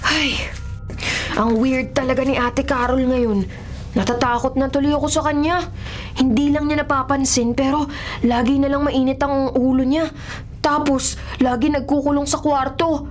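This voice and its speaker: A woman's voice: high-pitched, fairly even intonation, normal speed, a little hiss or hum, close to an everyday voice. A woman playing an anxious, earnest young boy in a Filipino radio drama, polite and easily frightened, over music. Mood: worried. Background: background music.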